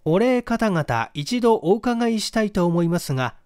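A man reading a classic detective story aloud as dramatic, measured, expressive scripted narration. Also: high voice, clean tone, no room sound, clean studio sound. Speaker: audiobook reader, stage actor.

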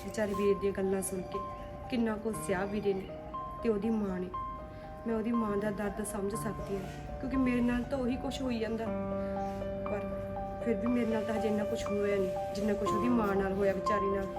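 A woman's voice: medium pitch, steady tone, brisk, lightly acted. A woman playing a mistreated young wife and mother in a family drama, tearful and pleading. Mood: sad. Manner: pleading, voice rising when defending herself. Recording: loud background music.

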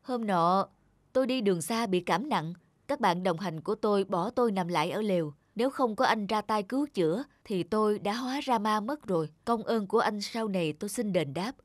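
A woman's voice: medium pitch, expressive, fairly quick, no echo, natural voice. A woman voicing a sly male traveller and thief in a cartoon folk tale, ingratiating and inquisitive. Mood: grateful, probing.

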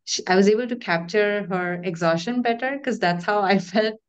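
A woman's voice: medium pitch, moderate pace, clean tone. A woman author on a panel, talking in a relatable, friendly way over a video call. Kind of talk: casual panel talk. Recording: dull lo-fi call audio.

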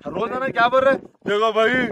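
Teasing high-voiced young man. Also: fairly even intonation, moderate pace. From Hyderabad, India. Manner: teasing, joking, mock-scolding. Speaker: road-trip friend. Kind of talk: casual banter among friends. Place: outdoors at a high-altitude lake. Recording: outdoor crowd noise behind.

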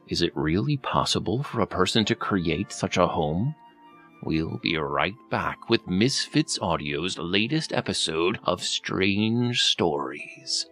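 Male announcer for strange-tales anthology: unhurried, theatrical, somewhat low voice, portentous. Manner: deliberate, portentous. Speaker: narrator and series announcer. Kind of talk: scripted, theatrical anthology narration. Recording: loud music behind voice. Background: loud music.

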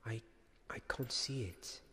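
A young man playing a devoted, weary knight in a soft-spoken ASMR roleplay, halting and often slipping into a whisper. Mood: hushed, wondering. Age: young adult. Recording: long cavernous reverb, faint background ambience.

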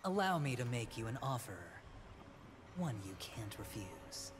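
An actor playing a theatrical male gambler in a game, speaking deliberately and invitingly with an ominous edge. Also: medium pitch, very animated. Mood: menacingly inviting. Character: smooth, theatrical male gambler toying with his guest. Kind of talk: video game cutscene acting. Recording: game audio under loud music.

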